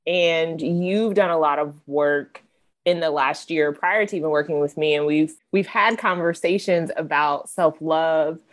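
Lower-voiced woman hosting a coaching podcast. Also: measured pace. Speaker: podcast host and financial coach. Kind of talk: conversational interview.

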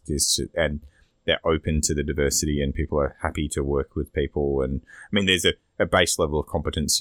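A man's voice: very deep voice, fairly bright tone, no room sound. A man with an Australian accent speaking as a podcast host in a casual, rambling, opinionated discussion. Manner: rambling, sweary.

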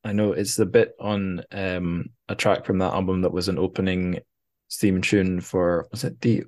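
Male podcast host, notably deep voice, chatty and informal, feels his way through sentences with self-corrections. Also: level tone, moderate pace, a little mellow. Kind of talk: casual interview chat.

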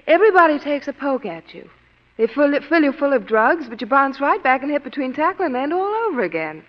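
An actress playing an anxious, secretive young heiress in a 1940s radio drama, speaking in a breathy, emotional way. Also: female voice, high-pitched, sing-song, fairly quick. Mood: teasing. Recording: muffled 1940s radio broadcast.

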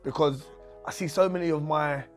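A man's voice: a little high, steady tone, moderate pace, soft background music. A man hosting a casual chat, asking curious questions and stumbling over his words. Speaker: host.

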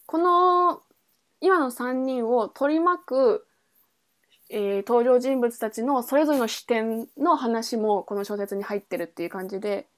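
A woman's voice: fairly high pitch, unhurried. A woman podcast host talking casually and informally, thinking aloud and correcting herself as she explains things. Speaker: podcast host introducing a book. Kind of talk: casual chat explaining a novel.

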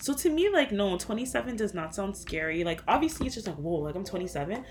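A woman's voice: mid-range voice, very animated, fast, darkish tone. A woman in her twenties co-hosting a casual chat with a friend, slangy, teasing and easygoing. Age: 20s.

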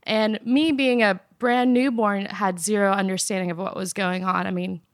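Smooth-voiced young woman telling her story. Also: medium pitch, moderate pace, no room sound, clean studio sound. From Afghanistan. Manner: earnest, steady, soft laughs. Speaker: interview guest. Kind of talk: personal storytelling in an interview. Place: apartment in Nashville.

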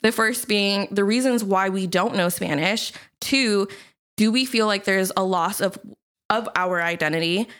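A young woman from the United States co-hosts a podcast in an easygoing chat. She talks at a medium pitch, laughs often, and fills her sentences with "like" and "you know". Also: unhurried, a bit bright, clear, resonant tone. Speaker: podcast co-host. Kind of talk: casual chat.

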